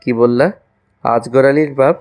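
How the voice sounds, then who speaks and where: একজন পুরুষের অভিনয়ে দুষ্টু, রোমান্টিক কলেজপড়ুয়া ছেলে, পেছনে বাজনা. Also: male voice, medium pitch, steady tone, measured pace, darkish tone, soft background music, lightly acted. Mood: playful, mock-innocent. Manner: playful, mock-innocent, teasing. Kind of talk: audio romance story acting.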